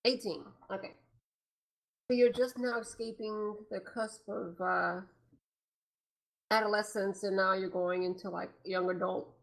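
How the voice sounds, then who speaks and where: A woman's voice: mid-range voice, fairly even intonation, a little slow, vocal fry, long pauses, low-quality recording. A woman in her twenties hosts a casual podcast chat. She is chatty and teasing, and she laughs easily with her guest. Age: 20s. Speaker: podcast host.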